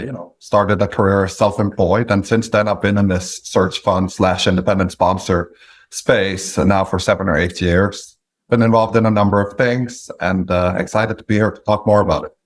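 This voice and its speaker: A man in his late thirties from Norway with a Norwegian accent, a guest in an interview who speaks in a measured way with frequent pauses. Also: low-pitched, fairly even intonation. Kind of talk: interview conversation.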